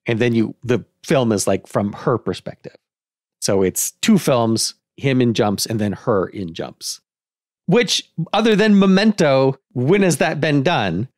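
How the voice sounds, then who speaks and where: A man's voice: medium pitch, very animated, measured pace, a little mellow, dry recording, studio quality. A man talking casually as a podcast co-host, animatedly thinking aloud through ideas in a brainstorming discussion.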